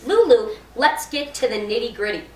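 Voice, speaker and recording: A woman's voice: a little high, expressive, moderate pace, lightly acted. A woman voicing a bossy, jittery storybook school nurse who speaks urgently and probingly in rhyming slang. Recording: noisy echoey room, far from mic.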